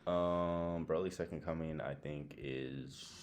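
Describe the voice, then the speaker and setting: A man's voice: a bass voice, fairly even intonation, slow. A man co-hosting a podcast in casual, opinionated banter, blunt and slangy with plenty of swearing. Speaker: podcast co-host.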